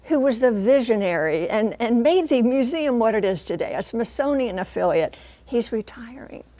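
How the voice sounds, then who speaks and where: An American woman reporting and explaining the news on television, with emphatic, deliberate phrasing. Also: fairly high pitch, animated, warm tone.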